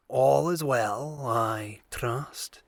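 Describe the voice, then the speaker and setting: A man playing a bearded pirate captain in an audio drama, calm, formal and quietly menacing, with a gravelly, husky voice. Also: medium pitch, dry recording, clean studio sound. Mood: calm menace. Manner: measured, formal, quietly commanding.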